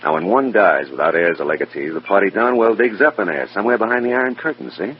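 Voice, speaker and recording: An actor playing a matter-of-fact, persuasive FBI agent with a plain-spoken, folksy manner in an old radio drama. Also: male voice, on the low side, expressive, fast talker, breathy edge. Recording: dull, faintly noisy old radio recording.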